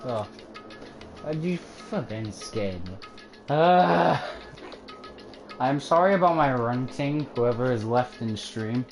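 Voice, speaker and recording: Slow-talking, swearing woman streamer. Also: rumbling low, expressive. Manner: swears a lot, exasperated banter. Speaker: streamer reading a game. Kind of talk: casual livestream game read-along. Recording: game music playing behind.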